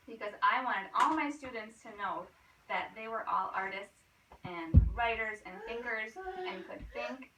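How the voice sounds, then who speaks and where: A woman schoolteacher reading a picture book aloud expressively and prompting with questions in a teacherly way. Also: medium pitch. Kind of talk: storybook read-aloud with questions to a child. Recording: roomy, distant microphone, faint noise.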